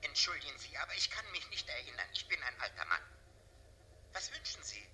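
A frightened old professor in a radio play speaks in a wary hush over the telephone. His voice is very thin and sharp, swinging up and down, with slight noise on the recording. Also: medium pitch, sing-song, brisk. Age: older. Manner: wary, evasive, hushed. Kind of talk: German radio drama acting.

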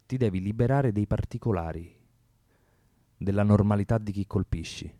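An actor playing a cold, doctrinaire communist militant in a radio drama, lecturing and provoking in a commanding way. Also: male voice, on the low side, normal speed, dark tone, dry recording, studio quality, natural voice. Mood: cold, solemn.

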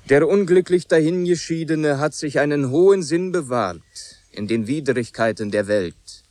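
Actor as stern, formal village preacher, measured. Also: male voice, on the high side, expressive, a little mellow, no room sound, natural voice. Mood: solemn. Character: stern pompous village pastor, formal and admonishing. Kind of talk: 1980s German radio drama acting.

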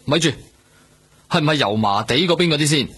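A man's voice: fairly high pitch, animated, a little fast, fairly bright tone, slightly rough. A man acting a cautious, exasperated police superior in a radio drama, grumbling in a Hong Kong Cantonese accent. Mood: wary, exasperated. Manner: grumbling, admonishing. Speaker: actor.

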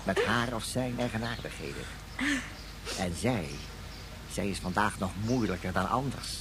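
A man's voice: on the low side, lively intonation, normal speed, noisy background. A man playing a pedantic, obedient court official in a science fiction radio play, speaking formally and ceremoniously. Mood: solemn. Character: pedantic, obedient male court official, explaining strict protocol.

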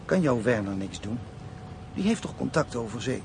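An actor playing a cautious, ruthless drug gang boss in a crime radio drama, with a creaky voice and a blunt, scheming manner. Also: male voice, mid-range voice, big pitch swings, a little fast. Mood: cold scheming. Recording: faint background noise.

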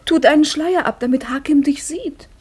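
An actress playing Fatime, a clever and devout young wife who is playful, earnest and intimate in a 1950s radio play. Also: female voice, high voice, normal speed, a little mellow, natural voice. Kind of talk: 1950s radio drama acting.

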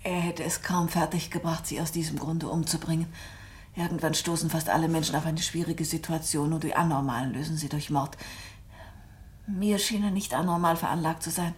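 A woman's voice: sits low, fairly even intonation, brisk, natural voice. An actress playing a composed, direct woman novelist in a German radio drama, articulate and dryly matter-of-fact. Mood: reasoning, defending.

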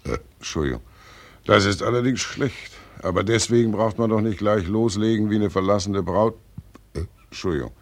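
An actor playing Death as a callous, mocking old undertaker in a 1940s radio drama, muttering sardonically in a gravelly voice. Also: male voice, on the low side, moderate pace, no room sound.

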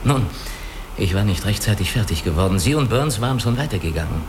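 An actor in a radio drama playing a guarded, analytical spaceship crewman who speaks deliberately and reasons step by step. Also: lowish voice, expressive, noisy background, lightly acted. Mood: confiding, measured. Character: guarded, analytical male spaceship crewman hiding his identity. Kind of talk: German radio play dialogue.

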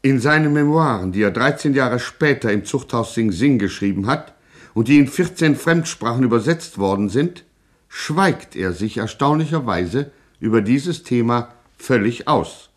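A man's voice: mid-range voice, expressive, moderate pace, lightly acted. A male actor playing a pompous radio serial announcer, narrating in a solemn, mock-sensational style.

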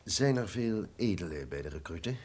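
Imperious villainous duke with sweeping intonation. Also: male voice, deep voice, lively intonation, normal speed, darkish tone, breathy edge. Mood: brooding. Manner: pompous, commanding, formal old-fashioned speech. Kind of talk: theatrical radio drama acting.

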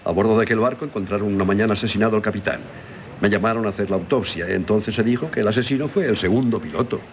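An actor with a Castilian Spanish accent playing an earnest, anxious, protective husband and doctor in dramatic, questioning radio theatre style. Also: male voice, fairly low pitch, very fast, lightly acted. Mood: restrained, probing. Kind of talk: 1970s radio theatre acting. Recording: dull, noisy 1970s radio recording.

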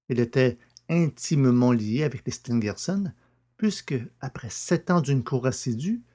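An actor playing a curious gentleman friend who narrates a French detective story and its dialogue. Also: medium pitch, lightly acted. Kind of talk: scripted literary narration with dramatised dialogue.

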